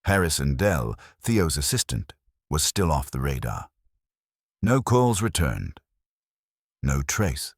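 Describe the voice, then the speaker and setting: A man's voice: a bass voice, very animated, slow pace, long pauses, dry recording. A man reading a first-person detective story as an audiobook narrator, in a dry, wry hard-boiled storytelling manner.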